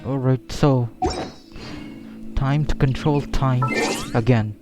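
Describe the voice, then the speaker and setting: Slow-talking man doing game commentary. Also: mid-range voice, steady tone, over music. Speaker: gamer recording a playthrough.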